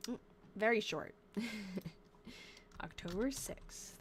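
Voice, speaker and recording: A woman's voice: mid-range voice, expressive, slow pace, slight background noise. A young woman in her twenties talks loosely on a live stream, her nose stuffed up and her voice wearing thin. She reads terse old diary lines aloud, then drifts into chatty asides and often breaks off mid-sentence. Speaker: livestream host reading old diaries. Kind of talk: casual live-stream chat while reading diary entries aloud.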